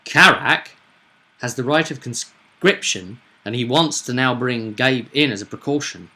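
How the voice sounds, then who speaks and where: A man's voice: mid-range voice, a little slow, pushed and bright. A man hosting as game master, chatting casually about a tabletop roleplaying game and often repeating himself with tag questions.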